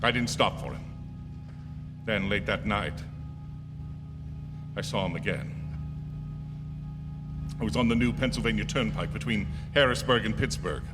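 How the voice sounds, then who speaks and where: A man acting as an uneasy motorist in a radio drama, nervous and sometimes halting as he tells of being haunted. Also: lowish voice, music in the background. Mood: uneasy recollection. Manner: nervous, halting, stammering under strain. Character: uneasy male motorist haunted by a recurring hitchhiker. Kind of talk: radio drama first-person narration and acting. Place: stage of a theatre. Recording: echoey theatre hall with long reverb.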